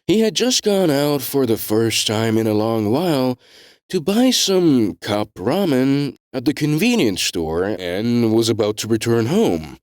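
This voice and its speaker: A male narrator with a medium-pitched voice reads a scripted tale. His intonation moves widely, while the phrasing stays measured, with deliberate dramatic pauses. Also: sing-song, no room sound, clean studio sound.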